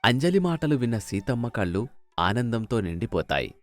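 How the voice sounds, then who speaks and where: A man's voice: medium pitch, sing-song, moderate pace, faint music behind. A man narrating a scripted moral story as a storyteller.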